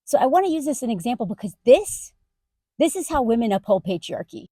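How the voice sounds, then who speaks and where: A woman content creator ranting straight to camera, emphatic, her voice jumping up high on indignant questions. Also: very animated, fast talker, mellow, no room sound, clean studio sound. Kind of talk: direct-to-camera rant.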